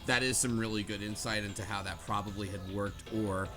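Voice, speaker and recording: A man's voice: lowish voice, fairly even intonation, brisk. A man speaking in an informal, rambling way in a casual group discussion. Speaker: book club podcast panelist. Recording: music playing behind the voice.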